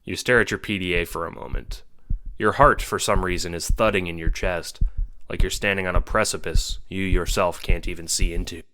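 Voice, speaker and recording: A man's voice: low-pitched, fairly even intonation, a little fast, pushed and bright. A man narrating a scripted second-person story for an audio drama.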